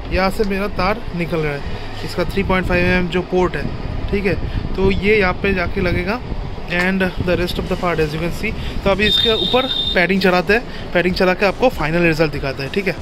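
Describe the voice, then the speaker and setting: A man's voice: sits high, noisy background. A young man with an Indian accent giving a casual, informal product demo, mixing Hindi and English. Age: young adult. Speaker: motovlogger and gear shop owner. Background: music.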